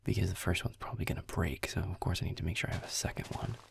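Guy doing a grumpy werewolf boyfriend, real low and super creaky, muttering and swearing like he's fed up, audio rp. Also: rapid-fire, slight background noise. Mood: grudging, muttering. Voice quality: gravelly, breathy near-whisper. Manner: muttering, grudging, sarcastic, sweary. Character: grumpy, irritable young male werewolf boyfriend. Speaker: audio roleplay performer. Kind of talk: intimate audio roleplay over gameplay.

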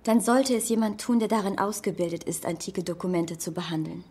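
An actress playing a careful document expert, precise and cautious, in a dubbed film. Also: female voice, medium pitch, a little fast, darkish tone, breathy edge, lightly acted. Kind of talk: German film dub acting.

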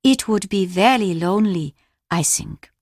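Slow, solemn Japanese woman played by an actress. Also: mid-range voice, a little mellow, dry recording, studio quality, natural voice. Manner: measured, formal, deliberate. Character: solemn, reserved Japanese woman devoted to tradition. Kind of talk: video game character dialogue.

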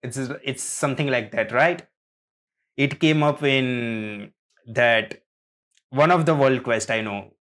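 A man casually reacting to game trailers, speaking in a hesitant, halting way. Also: mid-range voice, a bit bright. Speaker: reaction video host.

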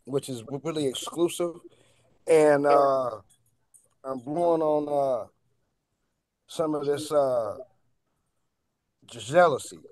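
Halting man chatting casually, dry sound. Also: on the high side, a little mellow, no room sound. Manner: halting, pausing often mid-sentence. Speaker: radio guest, cannabis strain reviewer. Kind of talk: casual chat.

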